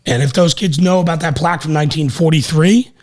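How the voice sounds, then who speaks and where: Middle-aged male podcaster, voice a bit high, emphatic, plain-spoken, informal. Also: fast. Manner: emphatic, repeats himself, salty blue-collar phrasing. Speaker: podcast host and firefighter. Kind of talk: casual, animated shop talk. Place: small podcast room indoors at a table. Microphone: podcast microphone on a stand.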